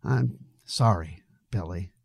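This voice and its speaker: A man's voice: low-pitched, slow, creaky, a little mellow, breathy edge, dry recording, voice pushed down, full character voice. A man playing a good-hearted, concerned young man with an earnest, plain-spoken manner in an audiobook story. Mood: gentle, consoling.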